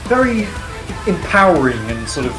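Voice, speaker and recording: A man's voice: on the high side, sing-song, normal speed, over music, rough recording. A man co-hosting a podcast, chatting casually about songs in a rambling, hesitant and jokey way. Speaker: podcast co-host reviewing songs. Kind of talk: casual music reaction chat.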